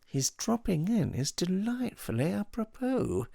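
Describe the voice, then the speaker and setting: A glib, mocking man of leisure, voiced by a male audiobook narrator who talks in a raised, airy register with big swings of pitch. His teasing is arch and sardonic, delivered close and dry with a gravelly edge underneath. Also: big pitch swings, measured pace, warm tone, no room sound, heavily acted. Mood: smooth persuasive. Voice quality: flippant, arch, sardonic banter.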